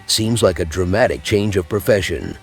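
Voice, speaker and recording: A man's voice: low-pitched, expressive, normal speed, gravelly, soft background music. A man roleplaying a haughty Thalmor elf agent in a fantasy game, with a curt, probing way of talking. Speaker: player narrating a roleplay playthrough.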